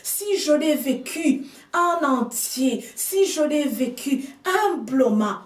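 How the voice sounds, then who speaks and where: A woman whose first language is not French, preaching a sermon with slow, emphatic delivery and hushed asides. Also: high voice, lively intonation, far from the mic. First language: another language than French. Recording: echoey room, speaker off mic.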